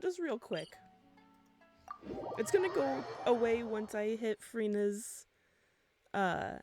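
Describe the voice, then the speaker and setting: A woman's voice: fairly high pitch, lively intonation, slow pace. A woman doing casual live gameplay commentary as a streamer, chatty and thinking aloud. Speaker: game streamer. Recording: loud game music behind. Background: video game music and dialogue.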